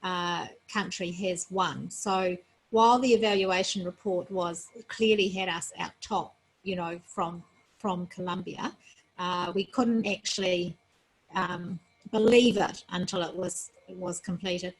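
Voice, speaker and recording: Husky, tired woman explaining calmly. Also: fairly low pitch, unhurried, poor audio. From New Zealand. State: tired after being up all night. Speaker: sports federation president, guest.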